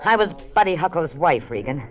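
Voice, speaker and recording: A woman's voice: low-pitched, sing-song, brisk. An American woman acting a brash, vengeful middle-aged ex-wife, blunt and feisty, in a 1940s radio detective play. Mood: angry, defiant. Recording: dull vintage radio recording.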